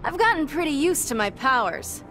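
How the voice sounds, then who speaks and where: Young game superheroine, high animated voice, sassy, defiant, confident, music behind. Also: female voice, moderate pace. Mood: confident, challenging. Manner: flippant, defiant. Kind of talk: video game character acting.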